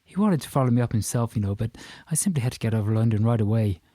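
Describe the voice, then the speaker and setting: An effusive Irishman in his fifties, acted out by a male audiobook reader, who chatters on with swagger and emphasis. His pitch jumps about and there is a boastful, faintly menacing edge to his talk. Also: lively intonation, normal speed, warm tone, no echo, close to an everyday voice. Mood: boastful, chatty. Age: 50s. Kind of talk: audiobook character dialogue.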